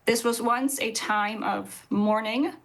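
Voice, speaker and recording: A woman in her thirties, a rabbi, giving measured, earnest interview answers over a video call from an office. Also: a little high, fairly even intonation, a bit bright. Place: indoor office with bookshelves.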